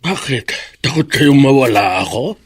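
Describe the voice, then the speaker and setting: An actor playing a gentle, stubborn elderly grandfather in a radio drama, with a mild, plaintive way of talking. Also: medium pitch, lively intonation, moderate pace, husky. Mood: teasing.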